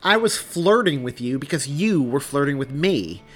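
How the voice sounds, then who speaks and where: A man's voice: medium pitch, sing-song, moderate pace, fairly bright tone, slightly rough, exaggerated voice. A man voicing an exasperated, defensive young man with a sarcastic edge in dramatized audiobook dialogue. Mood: spiteful, annoyed.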